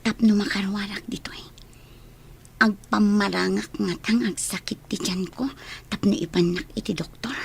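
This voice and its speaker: A woman's voice: medium pitch, fairly even intonation, normal speed, vocal fry, slightly rough. An actress playing a scheming woman who fakes illness, whiny and given to muttered asides, in a radio drama. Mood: scheming, muttering. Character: scheming woman faking stomach pains to get away.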